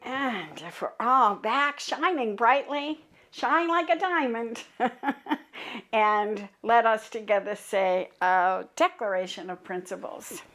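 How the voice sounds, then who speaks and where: A woman minister leading a church service, guiding a meditation in a calm, measured way and reciting a declaration of principles. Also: on the high side, faint music behind.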